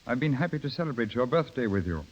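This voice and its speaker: An actor playing a suavely polished cosmopolitan banker, courtly and seductive, in 1930s radio drama style. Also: male voice, mid-range voice, very animated, fast talker. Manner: smooth-mannered, formal, courtly. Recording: dull 1930s radio recording with music behind.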